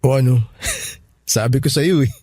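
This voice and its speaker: A man's voice: mid-range voice, a little slow, dark tone, husky, dry recording, clean studio sound. A man playing a polite, easygoing young Filipino boyfriend in a radio drama. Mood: light, teasing. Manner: polite, deferential.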